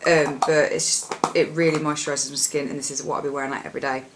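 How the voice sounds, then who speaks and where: A young woman with a husky voice, chatting casually about her favourite products in a rambling, filler-heavy way. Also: low voice, flat delivery, fast, noisy background. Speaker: beauty vlogger. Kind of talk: casual product chat to camera.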